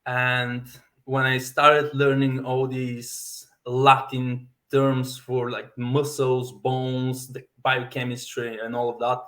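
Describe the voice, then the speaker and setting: A man in his thirties from Bulgaria, a native Bulgarian speaker and fitness coach, telling his story as a podcast guest, deliberate with many fillers and self-repeats. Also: medium pitch, completely flat delivery, fairly bright tone. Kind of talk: personal story in podcast interview.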